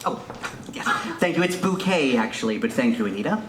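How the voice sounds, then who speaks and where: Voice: medium pitch, animated, fairly quick, close to an everyday voice. A performer playing a hapless, pompous town mayor in a comic stage pantomime, hesitant and dithering. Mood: flustered, prim. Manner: hesitant, dithering, swooping into wails. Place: indoor theatre stage. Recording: echoey theatre stage, ambient noise.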